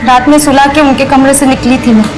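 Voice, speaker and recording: A woman's voice: sits high, little pitch movement, fairly quick, close to an everyday voice, rough recording. An actress playing a worried mother in a TV crime drama, answering in a hesitant, respectful way. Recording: loud music behind dialogue.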